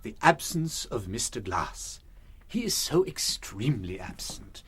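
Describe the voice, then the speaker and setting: A beaming, unworldly English priest who solves crimes in a radio play. His voice is husky and breathy, with a lively, swooping melody. He teases gently and muses with shrewd delight. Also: on the low side, a little slow, exaggerated voice. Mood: quietly musing. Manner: whimsical, chuckling, gently teasing. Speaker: lead actor in detective radio drama. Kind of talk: full-cast British radio drama acting.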